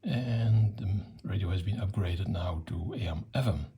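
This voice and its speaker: Low, husky, gravelly older man. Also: measured pace, dark tone. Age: middle-aged or older. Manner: matter-of-fact, hesitant. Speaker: electronics hobbyist presenter. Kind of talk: unscripted technical demonstration.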